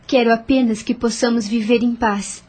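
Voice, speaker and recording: A woman playing a gentle, polite, earnest young heiress in an audio drama. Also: on the high side, fairly even intonation, moderate pace, natural voice. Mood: hopeful.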